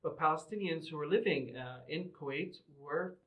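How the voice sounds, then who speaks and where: Male interview guest, middle-aged, slightly high voice, measured explainer, roomy echoing recording. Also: narrow, tinny audio. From Lebanon and Qatar. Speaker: podcast guest, museum representative. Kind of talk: conversational interview answers.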